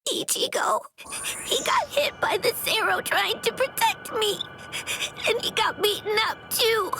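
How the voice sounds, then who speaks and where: An actress playing a gentle, timid young girl in an English anime dub, distraught and pleading, with breathy stammers. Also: very high-pitched, exaggerated voice, low-quality recording.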